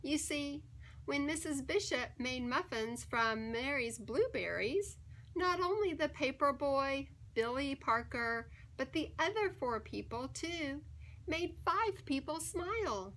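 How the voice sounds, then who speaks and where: A woman reading a children's picture book aloud in an expressive, deliberate and emphatic storytelling manner. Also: high voice, rough recording. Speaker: story time reader.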